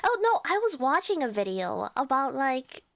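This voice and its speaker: A woman's voice: high-pitched, big pitch swings, moderate pace, creaky, no room sound. A young woman with a Cantonese accent chatting casually with her viewers as a livestreamer, chatty and giggling often.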